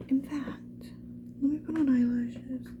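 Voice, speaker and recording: A woman whispering breathily in a casual, unhurried ASMR get-ready-with-me chat, with self-deprecating murmured asides. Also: high-pitched, steady tone. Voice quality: breathy whisper, mostly unvoiced. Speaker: ASMR video creator. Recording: dull, muffled sound with faint noise.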